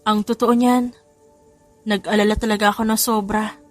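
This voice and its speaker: A woman's voice: medium pitch, level tone, normal speed, soft background music, lightly acted. A woman playing a devoted, playful young husband in an audio drama. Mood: relieved, caring.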